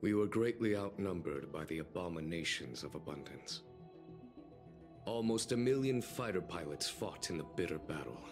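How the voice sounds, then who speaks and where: Video game general: very low voice, composed and eloquent, formal phrasing, clear music underneath. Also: male voice, expressive, moderate pace. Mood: solemn, measured. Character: calm, dignified male army general, gently wry. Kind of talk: dramatic video game dialogue.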